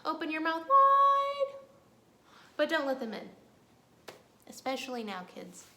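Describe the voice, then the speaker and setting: A woman with a fairly high voice leads storytime for young children. She is playful and sing-song, reciting rhymes and talking straight to the kids. The room is clearly echoey, with faint noise behind her. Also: wildly swooping pitch, unhurried, sharp tone. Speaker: children's librarian leading storytime.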